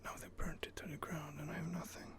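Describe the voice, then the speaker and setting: A man's voice: on the high side, level tone, fast, fairly bright tone, slight background noise, voice pushed up, lightly acted. A man whispering the brooding inner monologue of a weary older craftsman who has lost everything. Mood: grieving, vengeful. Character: weary older male craftsman seeking vengeance. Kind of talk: ASMR in-character narration.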